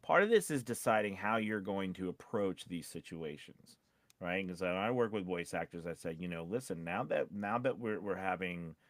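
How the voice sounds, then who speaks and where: Male podcast co-host, lowish register, conversational advice-giver with emphatic repetition, dry recording. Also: animated, moderate pace, dry recording. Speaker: podcast co-host and voice-over coach. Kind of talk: casual chat with advice to voice actors.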